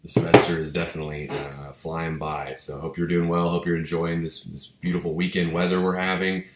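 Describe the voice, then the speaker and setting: A young man from with a head cold, sounding stuffy, giving a relaxed, chatty recorded lecture with many fillers and restarts. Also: deep voice, fairly quick, reverberant, soft background music. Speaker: psychology instructor. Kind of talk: casual recorded video lecture. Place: small home office, indoors.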